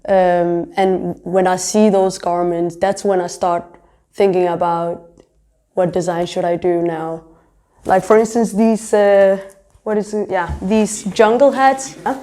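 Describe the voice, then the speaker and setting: A young woman with a low, mellow voice answers interview questions in a relaxed, chatty way. She fills her sentences with "um" and "like" as she explains her work. Born in Somalia and raised in Denmark. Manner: chatty, many fillers like "um" and "like". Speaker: fashion designer and pharmacy student.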